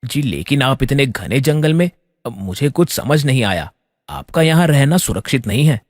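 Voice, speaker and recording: A man's voice: mid-range voice, lively intonation, normal speed, dry recording, clean studio sound, long reverb tail. A man playing a young, courteous, slightly flustered prince in a fairy tale, polite and hesitant. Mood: concerned. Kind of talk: Hindi fairy tale audio acting.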